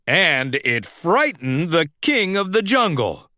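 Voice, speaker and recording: A man narrating a scripted children's story in a dramatic, expressive, deliberate way. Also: on the high side, no echo, clean studio sound.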